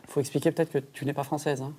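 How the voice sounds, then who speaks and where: A man's voice: a little high, little pitch movement, rapid-fire, dark tone. A man doing a jokey TV report, interviewing a guest with teasing, mock-provocative questions.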